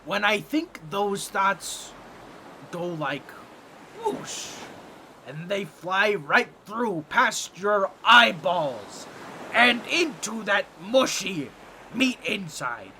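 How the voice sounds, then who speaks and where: High, squawky, excitable cartoon octopus. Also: male voice, extremely slow, slight background noise, heavily acted. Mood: insistent, explaining. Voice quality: nasal, squawky comic voice. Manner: theatrical, fussy, pompous wording. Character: excitable, boastful, tactless talking octopus explorer. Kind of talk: scripted comedic audio roleplay, addressing the listener.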